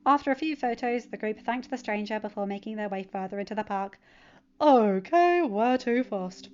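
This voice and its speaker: A woman's voice: medium pitch, quick pace, clean tone, highs cut off. A woman reading scripted story narration as the narrator of a fan fiction audiobook.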